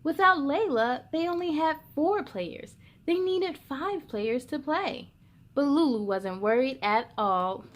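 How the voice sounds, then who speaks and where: A woman's voice: sits high, lively intonation, slow pace. A woman hosting a read-aloud for young children, telling picture-book stories expressively and speaking straight to her listeners.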